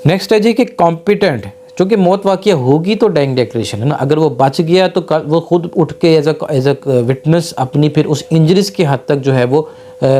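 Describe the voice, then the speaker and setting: A Pakistani-accented man lectures on law in a soft-edged, dark voice. He explains informally, restating key points and mixing in English legal terms. A faint noisy hum sits behind the voice. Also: mid-range voice. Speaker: lawyer teaching law.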